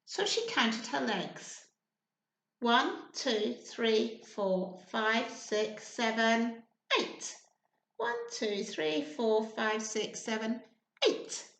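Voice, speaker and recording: A woman storyteller with a high, bright voice reads to small children. She is expressive and encouraging, asking them questions as she goes. She takes her time, in an echoey room. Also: extremely slow. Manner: expressive, sing-song, encouraging. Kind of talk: animated children's storytime reading with questions to listeners.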